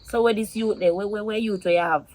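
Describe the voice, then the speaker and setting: A woman's voice: mid-range voice, steady tone, fast talker, darkish tone, close to an everyday voice. A woman with a Jamaican accent playing a feisty, scornful lover in a drama, scolding and repeating her words for emphasis. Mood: suspicious, probing.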